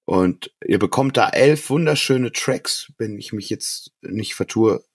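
A man's voice: fairly low pitch, lively intonation, unhurried, husky, no room sound, clean studio sound. A man casually discussing music on a podcast, gushing and emphatic, with plenty of slang. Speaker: podcast co-host.

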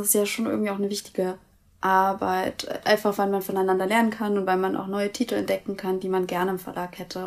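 A woman's voice: medium pitch, darkish tone. A young woman co-hosting a casual podcast chat, chatty and self-mocking, laughing easily. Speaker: podcast co-host, publishing staff.

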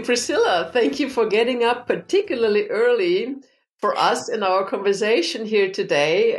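A woman hosting a podcast interview, articulate and enthusiastic, a little halting when asking questions. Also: mid-range voice. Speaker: podcast host.

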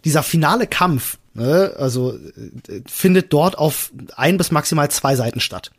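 A man with a slightly high-pitched voice chats as a podcast co-host. His delivery is informal but earnest, stressing his points as he explains them. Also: animated, normal speed, husky. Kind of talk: casual podcast chat.

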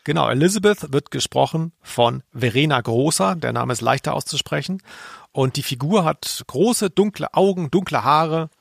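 Gravelly, dry-humoured male podcast co-host. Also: a little high, lively intonation, normal speed, no echo. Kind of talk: casual chat about audio dramas.

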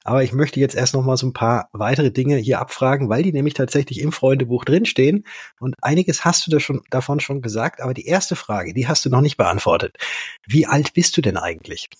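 A man's voice: medium pitch, brisk, slightly rough, no room sound, clean studio sound. A man hosting a podcast interview, asking questions in an upbeat, conversational way. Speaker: podcast host.

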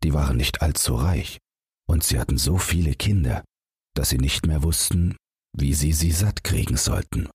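A man's voice: a bass voice, normal speed, a little mellow, breathy edge, dry recording, studio quality. A man narrating a bedtime fairy tale as a scripted audiobook.

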